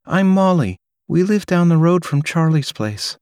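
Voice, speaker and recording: A gentle, courteous young mother, voiced by a male narrator in a storybook reading. Her voice sits well above his own and swings about expressively. The recording is close and dry. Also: fairly high pitch, lively intonation, a little slow, no echo, voice pushed up. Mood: friendly. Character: polite apologetic young mother, woman. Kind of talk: audiobook character voice.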